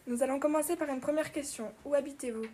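A woman's voice: sits high, quick pace, mellow, slight background noise. A woman journalist asking polite, scripted interview questions.

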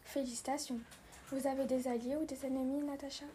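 A woman interviewer asking flat, scripted questions. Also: high voice, a little fast, slight background noise.

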